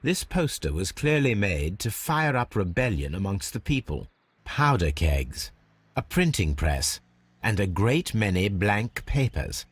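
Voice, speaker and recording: Husky, low-voiced English detective. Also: male voice, big pitch swings, no echo, exaggerated voice. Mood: musing, analytical. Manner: precise, measured, formal. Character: brilliant, unflappable Victorian male detective, coolly analytical. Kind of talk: scripted game dialogue and deductive narration.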